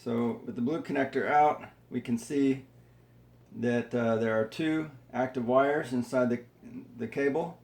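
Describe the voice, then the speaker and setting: Male how-to presenter, unhurried and dark-toned, unscripted, explaining each step haltingly in an echoey room. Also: mid-range voice, fairly even intonation, vocal fry. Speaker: tutorial presenter. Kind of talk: hands-on how-to demonstration, unscripted.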